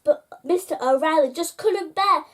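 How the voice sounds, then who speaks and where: A woman's voice: high voice, moderate pace. A woman hosting a story time, reading a children's picture book aloud and addressing young listeners directly.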